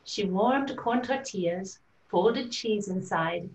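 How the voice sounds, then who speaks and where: A woman's voice: mid-range voice, slow pace. An older woman, a guest author, reading a children's picture book aloud on a video call. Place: indoor room with bookshelves, video call. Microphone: computer microphone on a video call. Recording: roomy, echoey video call audio.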